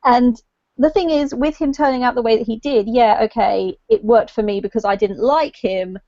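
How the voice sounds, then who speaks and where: A woman's voice: a little high, normal speed, warm tone, no room sound, highs cut off. A woman talking casually in a book discussion, explaining her opinions tentatively and correcting herself as she goes.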